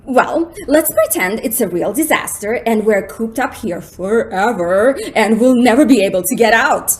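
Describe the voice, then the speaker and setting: A woman acting an excitable, thrill-seeking, easily frightened young woman in a melodramatic, girlish radio drama style. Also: a little high, normal speed, lightly acted. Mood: playful, thrilled.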